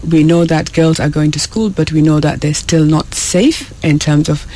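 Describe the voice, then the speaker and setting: A woman from South Sudan speaking as an interview guest, halting and often searching for words. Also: sits low, dark tone. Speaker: guest, education program worker. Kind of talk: radio interview.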